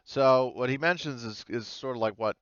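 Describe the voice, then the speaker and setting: A man running a tabletop game as game master, narrating in a halting, hesitant way over a voice call. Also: mid-range voice, clean tone, dry recording, highs cut off. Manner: halting, hesitant, pieces sentences together gradually. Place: online voice call.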